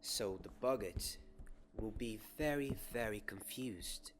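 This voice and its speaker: Young guy doing a gentle wizard teacher, asmr roleplay, soft lowish voice, really slow, whispery, noisy background. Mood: hushed, instructive. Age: young adult. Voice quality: soft-spoken, often dropping into whispers. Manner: measured, encouraging teacher, repeating words softly. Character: gentle wizard professor teaching a magic class. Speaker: ASMR roleplay performer playing a professor.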